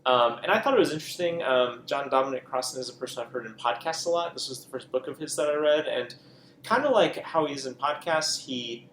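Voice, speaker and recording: A man's voice: mid-range voice, expressive, a little fast, a bit bright. A man co-hosting a podcast, chatting casually about books he read, rambling with lots of ums and self-corrections. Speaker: podcast co-host. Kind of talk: casual book chat. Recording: echoey room with faint noise.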